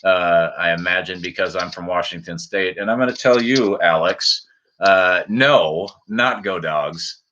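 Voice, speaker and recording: An American man in his forties from the upper Midwest, chatting casually and haltingly as host of a livestream. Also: low-pitched, sharp tone, slight background noise. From Minnesota and Wisconsin, US. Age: 40s. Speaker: fishing channel host. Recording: dull, lo-fi stream audio.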